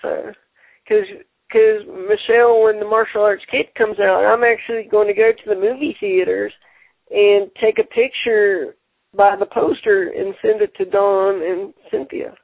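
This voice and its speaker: A man hosting a casual radio show, talking haltingly and repeating words, with many fillers. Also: sits high, fairly even intonation, gravelly, dry recording. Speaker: show host. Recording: tinny phone-line sound.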